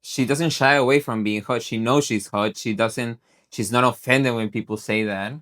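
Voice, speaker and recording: A man's voice: mid-range voice, fairly even intonation, moderate pace, fairly bright tone. A man co-hosting a podcast, talking casually and rambling with lots of "like" fillers and frequent laughs. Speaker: podcast co-host.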